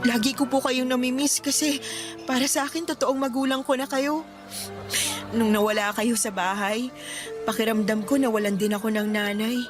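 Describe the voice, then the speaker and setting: An actress playing a devoted, affectionate foster daughter in a Tagalog radio drama, polite and emotional. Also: female voice, fairly high pitch, fairly even intonation, moderate pace, natural voice. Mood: tearful longing. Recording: clear background music under the dialogue.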